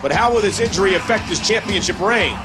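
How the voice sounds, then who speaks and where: A man plays a video game wrestling announcer calling the action. His voice is high, shifting between excitement and concern in sports commentary style. Loud music plays behind him. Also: fast, close to an everyday voice, poor audio.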